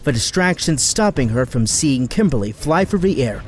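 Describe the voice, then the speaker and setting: A man's voice: mid-range voice, big pitch swings, normal speed, faint music behind. A man giving dramatic scripted narration as the narrator of an action audio drama.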